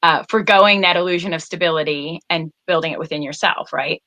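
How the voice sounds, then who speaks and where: Woman guest host, bright clear tone, friendly, lots of ums. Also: low-pitched, fairly quick. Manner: friendly, with many fillers. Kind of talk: interview hosting.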